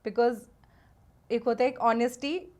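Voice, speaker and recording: A woman's voice: fairly high pitch, steady tone, unhurried, darkish tone. A woman who is a guest on a podcast, giving her opinions in casual conversation, emphatic and fond of repeating words to stress them.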